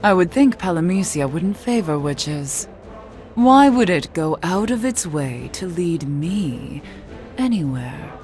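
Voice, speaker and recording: A mysterious young witch, low and slow. She speaks gravely and with quiet drama, like a cursed soul in a fantasy game cutscene, over music. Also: sing-song. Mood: composed, cool. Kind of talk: video game cutscene acting.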